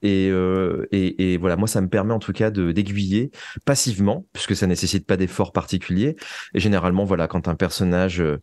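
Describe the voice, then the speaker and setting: A man with a low voice gives conversational interview answers as a guest. He often pauses to search for words and repeats small phrases. The sound is dry, with hardly any room around it. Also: steady tone, a little mellow, no room sound. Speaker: guest, audio fiction writer.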